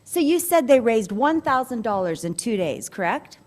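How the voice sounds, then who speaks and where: A woman's voice: on the high side, sing-song, a little mellow. Upbeat woman club president. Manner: upbeat, brisk. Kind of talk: meeting announcements.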